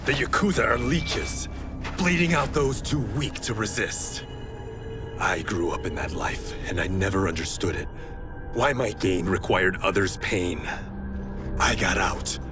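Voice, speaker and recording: A man's voice: low-pitched, animated, unhurried, music in the background. A man playing a stern, proud swordsman with a criminal past in a video game, serious and earnest. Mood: bitter, resolute. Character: stern, proud swordsman who left organised crime. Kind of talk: cinematic video game drama acting.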